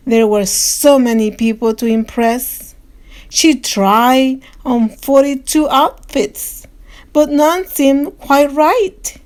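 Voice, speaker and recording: Slow, high-voiced woman reading a storybook. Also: no echo. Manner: expressive, deliberate. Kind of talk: children's story read-aloud.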